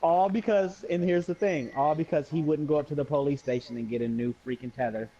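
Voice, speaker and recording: A man's voice: fairly high pitch, fairly quick, warm tone. A young adult American man casually telling a story to friends in slangy speech, acting out the dialogue as he goes. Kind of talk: casual anecdote among friends. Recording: dull lo-fi voice recording.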